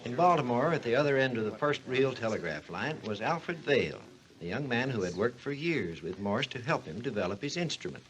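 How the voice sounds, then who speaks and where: A man's voice: medium pitch, very animated, brisk, crowd noise, close to an everyday voice, low-quality recording. A man playing a learned doctor who narrates and explains in formal, instructive 1940s radio style. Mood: authoritative, instructive. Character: authoritative male doctor explaining history and science. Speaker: narrator and expert.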